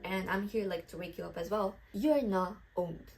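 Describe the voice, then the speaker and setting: An encouraging young woman from Brazil speaks English with a Brazilian accent, her mid-range voice earnest and candid. She chats to the camera in a relaxed vlog, with clear music playing underneath. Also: mid-range voice, moderate pace, poor audio. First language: Portuguese. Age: 20s. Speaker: vlogger. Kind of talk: casual advice vlog to camera. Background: background music.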